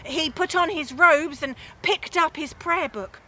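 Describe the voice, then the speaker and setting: A woman telling a humorous medieval tale theatrically, with dramatic pauses and emphasis. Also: high-pitched, sharp tone, a little hiss or hum. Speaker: storyteller.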